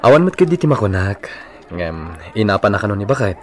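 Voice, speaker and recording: An actor playing a placating husband, conciliatory and easygoing, with an Ilocano accent in a radio drama. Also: male voice, lowish voice, very animated, a little fast, a little mellow, a faint crowd. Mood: polite. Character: placating husband of a quarrelsome store owner.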